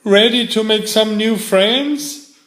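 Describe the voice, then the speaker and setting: A man's voice: high voice, slow pace, a bit bright, voice pushed up. A man voicing an eager, helpful male cat detective from a children's storybook, upbeat, emphatic and reassuring. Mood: excited, confident. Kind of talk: children's storybook character reading. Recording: echoey room.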